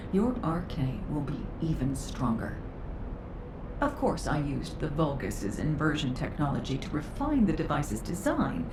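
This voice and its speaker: Low-voiced, composed inventor woman. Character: composed, clever female sci-fi inventor. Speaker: inventor quest giver in a sci-fi game. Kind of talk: scripted game dialogue. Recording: roomy, with clear rumbling background noise.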